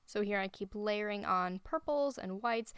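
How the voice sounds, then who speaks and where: Smooth-voiced woman narrating a painting demo. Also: medium pitch, normal speed, no echo, studio quality. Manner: relaxed explanatory, thinking aloud. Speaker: art instructor. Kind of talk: voice-over painting demonstration. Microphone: voice-over recorded separately.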